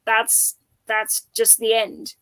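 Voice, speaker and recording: A woman's voice: on the high side, monotone, takes it slowly, fairly bright tone. A woman from the Netherlands, chatting casually and informally as co-host of a live stream. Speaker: co-host of a book readalong stream. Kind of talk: casual chat.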